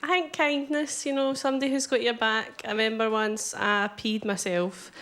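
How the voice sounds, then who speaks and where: A woman's voice: a little high, steady tone, moderate pace, fairly bright tone. A woman on a comedy panel telling a candid story in a giggly, hesitant way, with frequent "um"s. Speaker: panel show guest.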